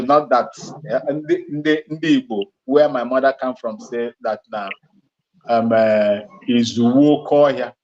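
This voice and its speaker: A man talking casually as a guest on a live stream, halting and restarting, with short laughs between phrases. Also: medium pitch, lo-fi sound. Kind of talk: casual live call-in talk. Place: Ghana.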